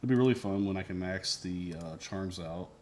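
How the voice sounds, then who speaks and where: A man with a deep, low voice hosts a game stream in a relaxed, joking way. There is a faint hum in the background. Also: moderate pace. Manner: laid-back, joking, encouraging. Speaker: streamer host. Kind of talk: casual stream commentary.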